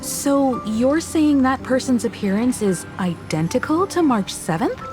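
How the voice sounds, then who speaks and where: A woman playing a gentle, caring crew leader in a video game, sincere and reassuring. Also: sits high, lively intonation, measured pace, over music. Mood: concerned. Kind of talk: video game character voice acting.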